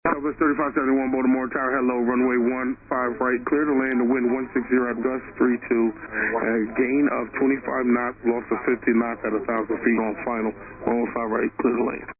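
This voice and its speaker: A man working as a tower controller, reading procedural clearances over air traffic radio. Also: medium pitch, little pitch movement, a little fast. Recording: narrowband radio with faint noise.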